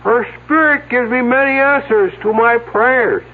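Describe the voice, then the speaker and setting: A solemn mystic speaks slowly and high in a husky voice with a put-on foreign accent. He poses as an ancient, grieving sage in a vintage mystery drama, uttering cryptic, archaic lines. The sound is narrow and tinny, like an old phone line. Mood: solemn, mystical. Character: mystical mustached man posing as an ancient mourning Indian.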